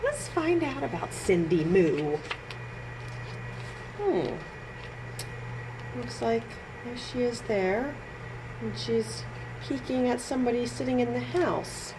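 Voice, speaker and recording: A woman with a lively, swooping voice reads a children's story at an unhurried pace. She stresses words in a sing-song way and adds little asides to young listeners. There is clear noise behind her. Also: a little high. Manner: sing-song, exaggerated emphasis, pauses to comment on pictures. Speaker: children's librarian storytime reader. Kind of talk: expressive read-aloud for young children.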